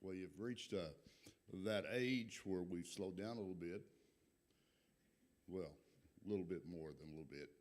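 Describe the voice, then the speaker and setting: An older man with a Texas accent giving a folksy, joking missionary report in a church, pausing often with fillers. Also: sits low. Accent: Texan. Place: church sanctuary.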